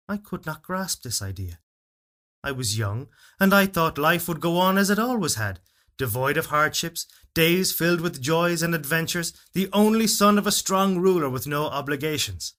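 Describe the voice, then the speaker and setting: A man reading a literary fairytale as narrator, in a solemn, measured and expressive storytelling delivery. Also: a little high. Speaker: story reader. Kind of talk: dramatic literary narration of a first-person fairytale.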